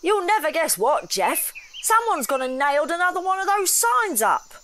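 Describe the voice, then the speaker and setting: Female sidekick, audio drama: wry, uneasy, lively pitch, faint outdoor noise behind. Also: moderate pace, exaggerated voice. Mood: puzzled, uneasy.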